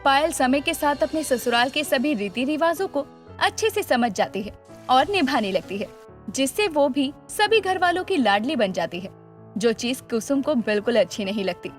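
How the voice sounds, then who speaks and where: A woman reads scripted story narration. Her voice is high and swings animatedly in pitch, carrying the tale along over quiet background music. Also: expressive, normal speed.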